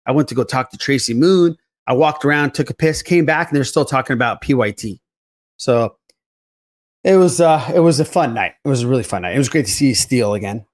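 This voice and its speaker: Male podcast host, mid-pitched, loose rambling storyteller in casual group banter. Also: lively intonation, a little fast.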